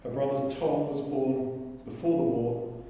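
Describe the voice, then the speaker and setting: Man, mellow tone, prepared testimony, heavy church echo, far from the mic. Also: mid-range voice, steady tone, unhurried. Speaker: invited speaker sharing family testimony. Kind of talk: prepared, read personal testimony. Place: inside a large church. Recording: very echoey church, distant mic.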